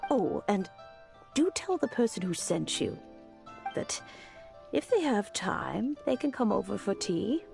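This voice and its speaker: Slow, creaky-voiced old granny. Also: female voice, medium pitch, big pitch swings, soft background music, heavily acted. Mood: warm, inviting. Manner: unhurried, gently chiding, storyteller-like. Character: kindly wise old granny, secretly immortal. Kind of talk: video game dialogue acting.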